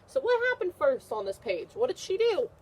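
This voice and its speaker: A woman's voice: high-pitched, big pitch swings, moderate pace, rough recording. A woman teacher reading a picture book aloud to young children, repeating questions and answers in an encouraging way. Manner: encouraging, praising the listener.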